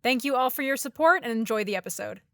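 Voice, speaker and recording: A woman's voice: a little high, expressive, fast, slight background noise. A woman reading scripted podcast announcements as the show's writer and director, addressing listeners directly.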